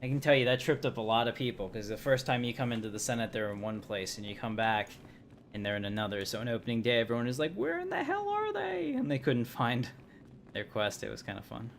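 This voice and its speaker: Man, livestream gamer, casual commentary, chatty, joking, middle pitch. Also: very animated, fast, gravelly, slight background noise. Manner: chatty, joking asides to viewers.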